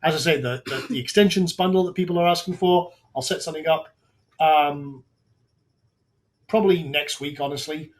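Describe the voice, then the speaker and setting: A man with a slightly raised pitch, hosting a live tutorial in a loose, chatty way. His voice is worn and going hoarse, and he often stops to restart a sentence. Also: normal speed. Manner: casual, talks through on-screen steps with frequent restarts. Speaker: webinar host.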